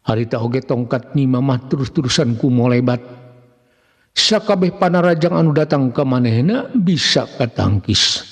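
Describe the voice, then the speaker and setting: A man with a Sundanese accent narrating a scripted dramatic tale in a formal, measured manner. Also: medium pitch, expressive, husky. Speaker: narrator of Sundanese serial tales. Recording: long hall-like reverb on voice.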